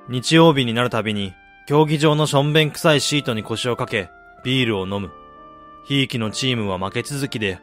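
A man's voice: medium pitch, fairly bright tone, lightly acted. A male actor plays a disillusioned man telling his own story in a radio drama. He is weary and brooding, with a self-deprecating streak and a mid-range voice. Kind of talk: radio drama first-person narration and dialogue.

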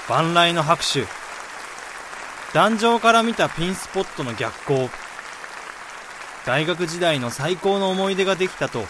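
A young man acting in a radio drama as a steady, caring friend, speaking in a measured, reflective way. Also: fairly high pitch, background noise. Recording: music and crowd sounds behind the voice. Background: music and crowd sounds.

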